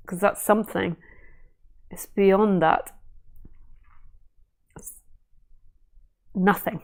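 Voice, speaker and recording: A woman spiritual teacher in a casual live stream, talking gently and unhurriedly, musing with fillers and restarts. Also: fairly low pitch. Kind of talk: casual live stream talk answering viewer questions.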